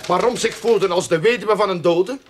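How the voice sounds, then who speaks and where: A man acting a broke, sarcastic, bad-tempered detective agency boss in a radio drama, excitable and blustering. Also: high voice, a little fast, slight background noise, lightly acted. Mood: indignant, scornful.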